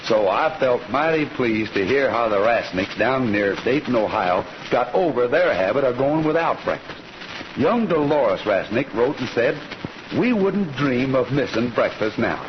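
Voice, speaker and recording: An actor playing a genial cowboy rancher who hosts an old radio show with folksy, easygoing talk. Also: male voice, medium pitch, slight background noise. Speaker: radio show host and pitchman. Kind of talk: 1940s radio show hosting. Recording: muffled old radio recording, loud music.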